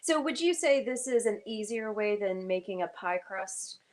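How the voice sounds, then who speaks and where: A woman's voice: medium pitch, animated, normal speed, a little mellow. A woman from North Carolina, hosting a casual cooking segment and asking many questions. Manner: chatty, asks lots of questions. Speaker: host.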